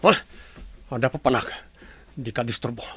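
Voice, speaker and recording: A man's voice: mid-range voice, normal speed, a bit bright, a little hiss or hum, close to an everyday voice. A man playing a scheming villain in a radio drama, sly and gloating.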